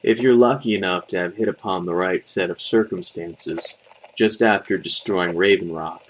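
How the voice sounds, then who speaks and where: A man's voice: sits low, normal speed, a little hiss or hum, rough recording. A man reading a scripted creepypasta as a horror story narrator.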